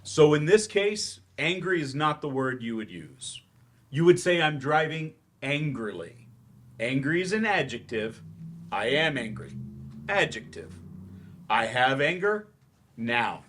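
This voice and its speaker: A man acting in a staged play reading as a patient, slightly awkward English teacher who explains in measured steps with hesitant asides. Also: fairly high pitch, expressive, a bit bright, a little hiss or hum. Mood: instructive.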